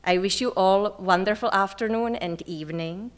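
A woman's voice: medium pitch, normal speed. A woman in her thirties from the Czech Republic with a Czech accent, giving a formal keynote introduction on a lecture stage. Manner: formal, read from a script. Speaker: conference speaker.

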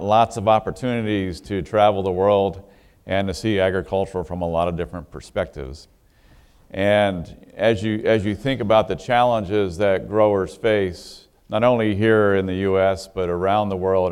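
A man with a very low, smooth and resonant voice gives steady prepared remarks on a panel. His speech is dotted with small "uh" pauses. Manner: steady, with frequent "uh" fillers. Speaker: industry panelist.

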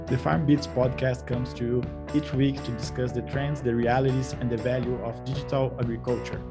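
Man co-hosting a podcast, steady read. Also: medium pitch, fairly quick, music in the background. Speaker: podcast co-host. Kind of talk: scripted podcast intro and interview questions.

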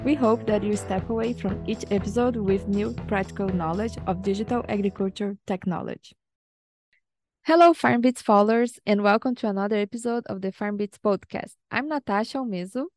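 A woman's voice: mid-range voice, a little mellow. A woman co-hosting a podcast, reading a scripted intro in an upbeat, read-aloud way. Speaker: podcast co-host. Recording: intro with music underneath.

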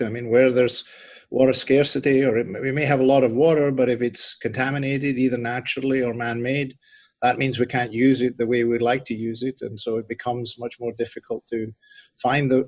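A middle-aged man with a Scottish accent gives considered answers in an interview, often restarting his phrases as he thinks. His voice sits at an ordinary pitch. The sound is close and dry, with a slightly dull, muffled quality. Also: darkish tone, no echo. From Scotland. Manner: thoughtful, frequent restarts and repeated words. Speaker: institute director, guest.

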